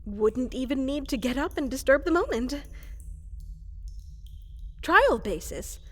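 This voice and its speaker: A woman's voice: high-pitched, sing-song, slow, fairly bright tone, heavily acted. A woman playing a fluffy, woolly llama-like creature in a fantasy roleplay, eager to serve as an evil advisor, speaking with flustered, hesitant politeness. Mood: coy, pleased. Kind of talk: roleplay ASMR character acting. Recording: long reverberant hall echo.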